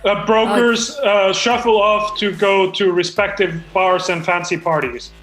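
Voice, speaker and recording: A man's voice: high voice, fairly even intonation, a little slow, fairly bright tone, roomy sound, faint music behind, rough recording. A man reading his screenplay aloud at a comedy table read, stumbling, hesitant and apologetic.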